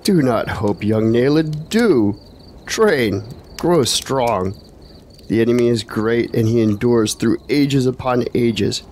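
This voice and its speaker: An actor playing a stern mentor to young villagers, solemn and exhorting, in formal fantasy radio drama style. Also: male voice, medium pitch, animated, slow pace, a little mellow, slight background noise.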